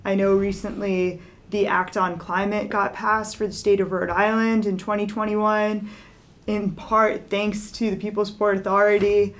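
Woman podcast host, mid-pitched, lots of mm-hmms and rights, asks long questions. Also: level tone, unhurried. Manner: attentive, frequent short backchannels like "right" and "mm-hmm". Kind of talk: casual interview conversation.

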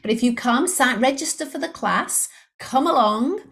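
A woman's voice: sits high, lively intonation, moderate pace, fairly bright tone. A middle-aged woman from Liverpool, England, with an English accent blended with Australian, explaining sales techniques upbeat as a podcast guest. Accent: English mixed with Australian. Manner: upbeat, explanatory, acts out inner voices. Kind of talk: podcast interview.

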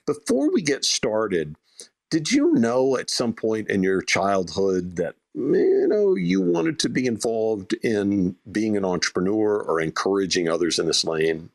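A man hosts a live audio podcast in a low voice. He runs through promotions and guest introductions with upbeat patter. The sound is dry and close. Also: very animated, a little slow, vocal fry, no echo. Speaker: podcast host. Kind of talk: live audio show hosting.

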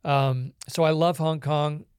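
A Canadian man, a podcast guest, telling his life story in a relaxed, reflective way with many small pauses. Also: fairly high pitch, clear, resonant tone, no echo, clean studio sound. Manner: reflective storytelling with frequent ums. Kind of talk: conversational interview.

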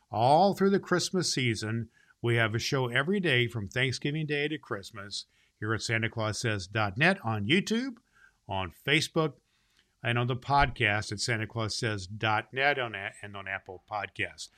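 A jolly, kindly old Santa Claus played by a man hosts a children's Christmas show. He has a medium-pitched male voice and speaks in a folksy, emphatic way, halting and repeating himself as he addresses the children. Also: a bit bright, lightly acted.

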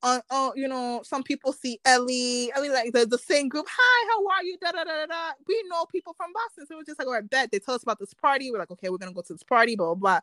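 A woman's voice: sits high, quick pace, few pauses, no room sound, studio quality. A young woman telling a story in casual chat, acting out what people said, with plenty of slang. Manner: animated storyteller, acts out quotes, slangy.

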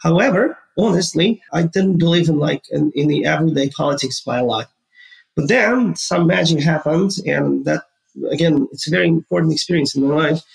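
Man, Ukrainian accent, very gravelly voice, slightly high, earnest interview answers. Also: fairly quick, low-quality recording. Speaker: guest, gaming entrepreneur.

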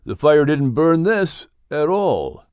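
A man's voice: on the high side, very animated, slow, a little mellow, clean tone, no echo, studio quality, voice pushed up. A man voicing a puzzled young son speaking to his father in a storybook. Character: puzzled young adult son, plain-spoken.

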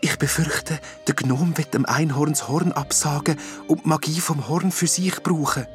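A man voicing a gentle female forest nymph who speaks softly, half in a whisper, in a children's audio story. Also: a little high, steady tone, moderate pace, faint music behind, voice pushed up, close to an everyday voice. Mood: worried. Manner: soft, half-whispered, questioning.

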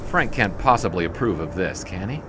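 An actor playing an affable, wry American newspaper sports writer of the 1920s in a period audio drama, with jaunty, wordy phrasing. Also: male voice, low voice, sing-song, a little fast, noisy background. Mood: playful.

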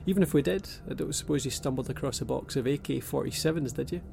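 A man playing a wry, gravelly young abductee. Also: mid-range voice, quick pace, darkish tone, slight background noise. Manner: dry, sardonic humour. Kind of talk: audio drama acting. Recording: music score underneath.

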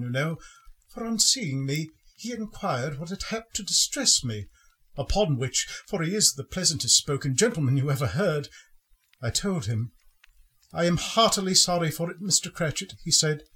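A man playing a gentle, grieving Victorian father in a radio play, speaking tenderly and earnestly in a husky voice. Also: on the high side, expressive, moderate pace, full character voice. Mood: warmly earnest. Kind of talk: sentimental radio play acting.

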